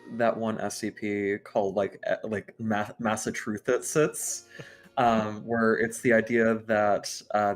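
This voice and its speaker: A man chatting casually on a livestream in a halting, stammering way. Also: fairly low pitch. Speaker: livestream participant. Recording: music playing behind the voice.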